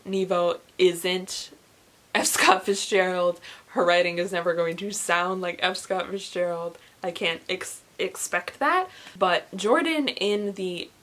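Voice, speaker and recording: A young woman chatting casually and emphatically to camera, reviewing books in an informal way. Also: mid-range voice. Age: young adult. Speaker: book reviewer vlogger.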